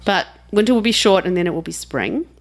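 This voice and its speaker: A woman's voice: mid-range voice, expressive, fairly quick. A woman with a New Zealand accent giving a chatty, jokey introduction at a live reading. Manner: chatty and jokey, laughing between remarks. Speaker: host introducing a reader.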